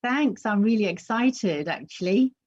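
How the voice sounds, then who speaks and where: A woman poet whose first language is Urdu speaks earnestly and haltingly. Her voice is mellow and husky. The recording sounds dull and muffled. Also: medium pitch, lively intonation. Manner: halting, with many restarts, earnest. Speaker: poet and teacher. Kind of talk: poetry reading and stage interview.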